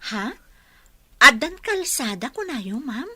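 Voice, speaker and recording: An actress with a Filipino accent playing a humble, grateful young village seamstress in a radio drama, polite and deferential. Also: female voice, sits high, big pitch swings, takes it slowly, pushed and bright, slightly rough, no echo, full character voice. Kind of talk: Ilocano radio drama acting.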